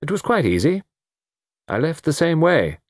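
A man voicing a suave young foreign gentleman in an audiobook, theatrical, easy and mockingly defiant. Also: on the high side, big pitch swings, moderate pace, no room sound, clean studio sound, pitched above the performer's own voice. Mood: casual confession. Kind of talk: audiobook character dialogue.

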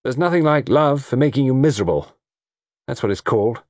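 A man voicing a flippant, lovesick young society gentleman with a theatrical, bantering, mock-gloomy way of talking. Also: mid-range voice, animated, a little fast, no echo, studio quality, voice pushed up, close to an everyday voice. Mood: mock misery.

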